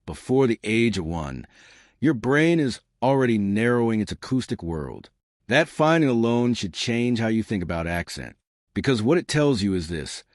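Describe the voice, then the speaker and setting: A man narrating a scripted science explainer, measured and deliberate, with slow emphatic short sentences. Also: lowish voice, dry recording, clean studio sound.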